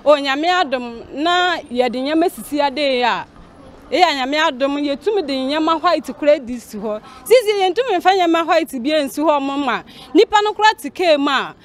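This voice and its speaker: A young woman with a Ghanaian accent answering in a street interview on a handheld mic. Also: sits high. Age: young adult. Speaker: seamstress interviewee.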